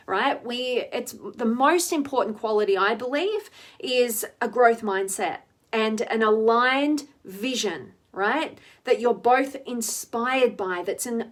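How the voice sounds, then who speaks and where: A woman, slightly hoarse, hosting a live stream where she reflects on relationships, greets viewers and often ends her points with "right?". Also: a little high, fairly bright tone. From Australia. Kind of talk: live-stream talk reading viewer comments.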